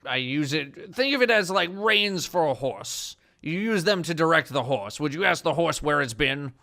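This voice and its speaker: A man voicing an eccentric, courteous male cloud giant with a booming voice and formal phrasing, in a tabletop game. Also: lively intonation, normal speed, vocal fry, sharp tone, heavily acted. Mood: patient.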